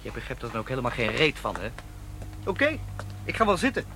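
Cynical young activist son, fast-talking, animated pitch, blunt, defiant, radio play. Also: male voice, a little hiss or hum, heavily acted. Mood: irritated. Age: young adult. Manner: blunt, dismissive, sardonic. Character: defiant, cynical young student activist, estranged from his father. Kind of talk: Dutch radio play acting.

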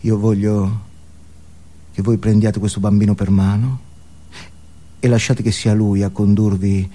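A man's voice: lowish voice, little pitch movement, measured pace, mellow, voice pushed down, lightly acted. A man playing a brash, cynical self-help guru in a comic stage monologue, exhorting his listeners emphatically with rhetorical questions. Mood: hushed, earnest. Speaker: stage actor. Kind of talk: theatrical comic monologue as motivational speech.

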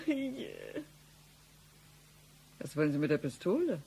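An actress playing a scheming, seductive femme fatale in a satirical noir radio play, theatrical and halting as she pleads. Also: sits low, huge pitch swings, vocal fry, dark tone, husky, full character voice. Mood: tearful, pleading.

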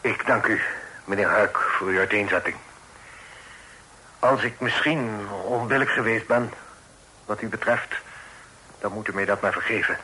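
An actor playing a proud, protective middle-aged father in a period radio drama, speaking formally and with measured authority. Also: fairly low pitch, pushed and bright, slight background noise, poor audio. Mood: grave, conciliatory. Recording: old radio play recording.

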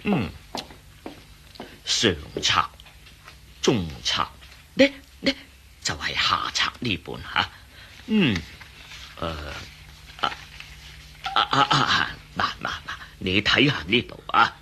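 An actor playing a talkative, cautious archaeology professor in a radio drama, with a Hong Kong Cantonese accent and hesitant, muttering delivery. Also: male voice, fairly low pitch, wildly swooping pitch, slow, pauses often, pushed and bright, slight background noise, exaggerated voice. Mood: absorbed, muttering. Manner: hesitant, mumbling fillers, explanatory.

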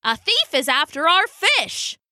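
Woman voicing shrill, excitable young penguins. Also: slow, no echo, clean studio sound, heavily acted. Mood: outraged. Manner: shrill chirping exclamations. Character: excitable crowd of younger penguins, squawking complainers.

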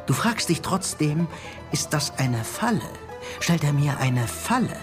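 An actor playing a middle-aged male Soviet secret police chief in a radio drama, genial and persuasive, confiding with quiet menace. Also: on the high side, sing-song, a little slow, music in the background. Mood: urgent, persuasive.

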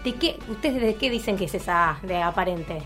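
A woman's voice: medium pitch, animated, a little fast, a bit bright. Argentine woman chatting on radio over music. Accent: Argentine Rioplatense. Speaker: radio co-host. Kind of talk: casual panel chat.

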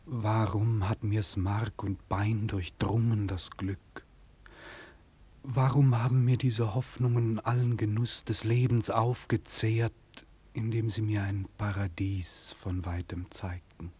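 Despairing rejected young suitor, low and very raspy, theatrical old radio play. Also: male voice, little pitch movement, a little mellow, full character voice. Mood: anguished, yearning. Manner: theatrical, halting, half-whispered. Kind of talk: 1940s German radio drama acting, brooding monologue. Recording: old 1940s radio recording.

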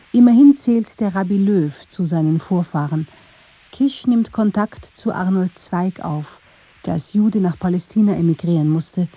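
A woman narrates a radio feature from a script. Her voice is very dark and soft-edged, with some huskiness. The sound is a little muffled. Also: mid-range voice, slightly rough, dry recording.

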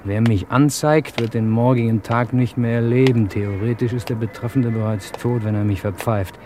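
A man's voice: medium pitch, fairly even intonation, moderate pace, natural voice. An actor playing a nervy, scheming accomplice of a gangster in an old German radio crime drama, theatrical in his musing. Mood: nervous musing. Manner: theatrical, chuckling, musing aloud. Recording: noisy vintage radio play recording.

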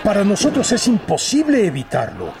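An actor playing a defensive rebel envoy in a radio drama, formal and insistent, with outdoor noise behind. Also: male voice, high voice, huge pitch swings, moderate pace, gravelly, exaggerated voice. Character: defensive rebel envoy explaining himself to foreign officer.

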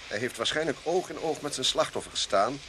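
A brusque, commanding police inspector acted by a Dutch man in a radio play. His voice sits at a middle pitch and speaks with authority. There is faint noise behind him. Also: animated, brisk, lightly acted. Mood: grave, insistent. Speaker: actor in a radio drama. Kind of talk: radio drama acting.

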